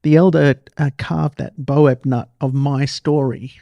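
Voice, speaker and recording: An Australian man with a relaxed, mellow voice and a gravelly creak hosts a conversational podcast. He tells stories casually and repeats phrases for emphasis, recorded very dry. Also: mid-range voice, a little slow, dry recording, lo-fi sound. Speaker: podcast host. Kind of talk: casual interview chat, storytelling.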